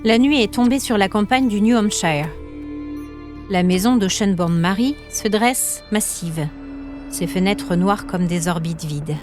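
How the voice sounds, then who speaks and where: A woman narrating a scripted story podcast in a measured, suspenseful, dramatic way, with short weighted phrases. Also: medium pitch, darkish tone. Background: music.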